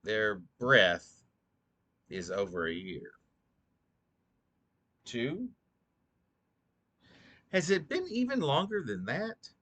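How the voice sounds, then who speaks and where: A drowsy man reading his own poetry slowly and deliberately, stumbling and repeating phrases as he goes. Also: mid-range voice, very animated, sharp tone. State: drowsy, short on sleep. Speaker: poet reading own work. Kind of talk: slow, halting poetry reading.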